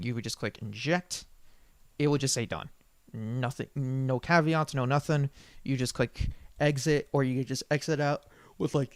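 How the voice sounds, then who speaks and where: A man presenting an unscripted software tutorial in an informal, rambling way, often correcting himself as he goes. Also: mid-range voice, no echo.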